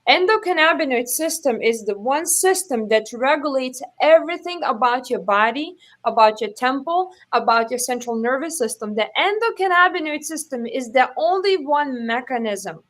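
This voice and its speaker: A woman's voice: high-pitched, a bit bright. A woman with a Lithuanian accent, a holistic practitioner speaking as an interview guest in an earnest, emphatic way, often repeating phrases. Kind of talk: impassioned interview talk.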